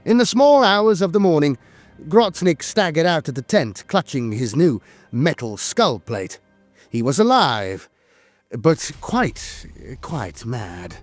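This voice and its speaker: A man's voice: a little high, very animated, slow pace, dry recording, soft background music. A man reading scripted narration like a dramatic storyteller, with a wry, theatrical delivery. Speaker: lore narrator.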